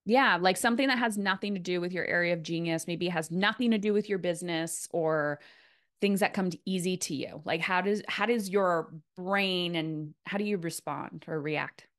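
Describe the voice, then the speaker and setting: A woman's voice: fairly low pitch, moderate pace, a bit bright. A woman hosting a casual interview in a motivational coaching style, emphatic and repeating phrases for weight. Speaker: podcast host, coach.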